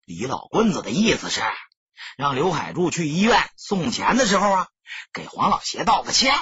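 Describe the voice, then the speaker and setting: A man with a raspy voice narrating a novel as a dramatic audiobook reader. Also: a little high, sing-song, moderate pace, bright, forceful tone, lo-fi sound, a little hiss or hum. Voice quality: husky, raspy.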